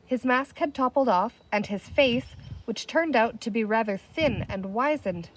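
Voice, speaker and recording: Female children's book narrator: higher-pitched, very animated intonation, close dry sound. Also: unhurried, slight background noise, rough recording. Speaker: storybook reader.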